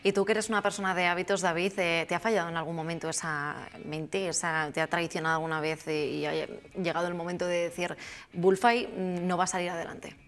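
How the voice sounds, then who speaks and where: Low-voiced woman hosting an interview. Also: level tone, fairly quick, a little hiss or hum. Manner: hesitant, with many fillers. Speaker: interview host. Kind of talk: interview questions.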